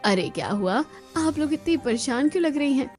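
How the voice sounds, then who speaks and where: A woman's voice: high voice, animated, moderate pace, a little mellow, faint music behind. A woman playing a helpful, concerned neighbour with a reassuring manner in a scripted cartoon.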